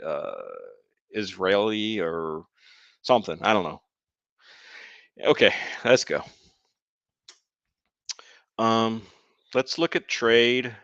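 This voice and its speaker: A man's voice: fairly low pitch, a bit bright, clean tone, no echo. A man streaming a game, chatting casually with his viewers and laughing often. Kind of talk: casual live-stream commentary. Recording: dull lo-fi dry sound.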